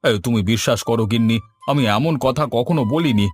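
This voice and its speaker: A man's voice: medium pitch, brisk, a little mellow, natural voice. A man voicing a cheerful village biryani shop owner in a cartoon, hearty and eager to please. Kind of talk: Bengali cartoon voice acting. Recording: background music.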